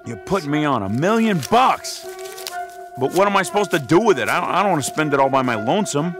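Radio drama: cocky American hoodlum, slangy and greedy, highish lively voice over music. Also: male voice, fast talker. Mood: demanding. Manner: brash, slangy wise-guy talk. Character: cocky small-time male hoodlum, slangy, greedy, brash womanizer.

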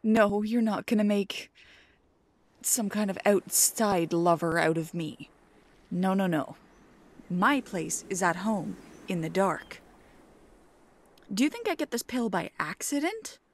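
Slow-talking, playful girlfriend acted by a woman. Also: mid-range voice, lively intonation. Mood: mock indignant. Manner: teasing, mock-complaining, drawn-out emphasis. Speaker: ASMR roleplay creator. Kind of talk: ASMR girlfriend roleplay, intimate casual chat.

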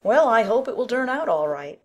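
Woman doing a nosy older village gossip, audiobook drama, voice on the high side and all over the place, blunt, folksy. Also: sing-song, brisk. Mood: doubtful warning. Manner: blunt, scolding, dramatic, old-fashioned folksy phrasing.

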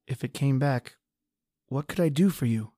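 A woman's voice: a bass voice, normal speed, mellow, dry recording, studio quality. A woman playing a frightened, injured man hiding in a tunnel, stammering and panicky, in an old-time radio drama. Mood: tense, hushed.

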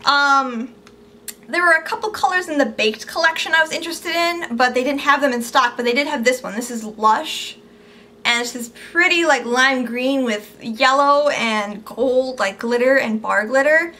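A young woman with a high, bright voice talks eagerly and chattily about things she bought, filmed close to the camera in a small room at home. Her voice has gone a little squeaky from overuse, and a faint hum of room tone sits behind her. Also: moderate pace. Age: young adult. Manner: chatty, upbeat, gushing about products. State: losing her voice, slightly squeaky. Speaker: beauty vlogger. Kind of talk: casual haul video.

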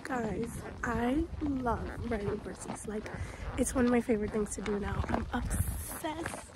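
A young woman vlogging to camera at an outdoor petting farm, gushing excitedly and chatting to the animals, filmed on a phone held at arm's length. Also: a little high, a little slow. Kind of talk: casual vlog chat to camera. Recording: noisy outdoor phone recording.

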